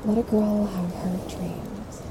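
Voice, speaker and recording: An actress as a slow, drowsy, playful camper. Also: female voice, flat delivery, close to an everyday voice. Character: sleepy, playful female camper dreaming of comforts. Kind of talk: naturalistic audio drama acting. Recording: loud night insects and outdoor rumble.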